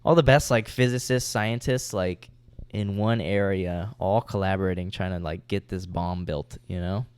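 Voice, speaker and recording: A man with a fairly low voice chats casually as a podcast co-host, relaxed and loose, peppering his talk with swearing and fillers. The recording is dry and close, with little room sound. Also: normal speed, smooth, dry recording. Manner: laid-back, swears freely, trails off with "like". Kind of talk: casual chat.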